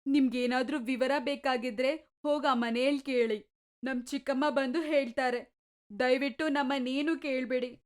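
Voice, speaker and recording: Man puts on shrill greedy stepmother, falsetto, dismissive and sly; storybook voice. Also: fairly even intonation, normal speed, smooth. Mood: pleading. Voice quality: high soft falsetto. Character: greedy scheming middle-aged stepmother. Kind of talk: storybook narration.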